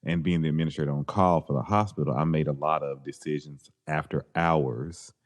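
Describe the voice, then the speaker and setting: A man's voice: a bass voice, steady tone, a little fast. A man in his forties co-hosting a podcast, joking and laid-back, stretching out his phrases. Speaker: podcast co-host. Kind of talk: casual chat.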